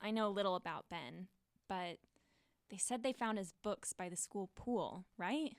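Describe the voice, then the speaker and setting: A woman's voice: medium pitch, unhurried, no room sound, clean studio sound, close to an everyday voice. A young woman playing a skeptical, protective teenage girl in an audio drama, blunt and wary. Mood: concerned, serious.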